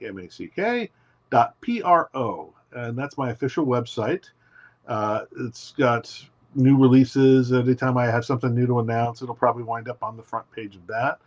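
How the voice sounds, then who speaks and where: A man being interviewed as a guest writer, telling his story in an emphatic, animated way. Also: mid-range voice, highs cut off. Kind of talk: casual interview.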